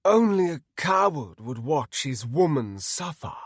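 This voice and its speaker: A stern holy paladin, acted by a male audiobook reader, cruel and pious. He makes slow, menacing pronouncements, stoic in manner, with dramatic rises in pitch, captured in a dry, close sound. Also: big pitch swings, husky, dry recording, heavily acted. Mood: cold menace.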